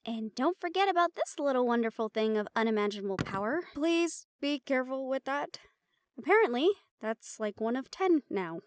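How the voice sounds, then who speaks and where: A woman's voice: high-pitched, expressive, moderate pace, dry recording. A woman playing a playful, sarcastic and tired young team member in a fantasy audio drama, talking casually. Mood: matter-of-fact. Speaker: voice actor in an audio roleplay. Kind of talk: fantasy audio drama roleplay, conversational acting.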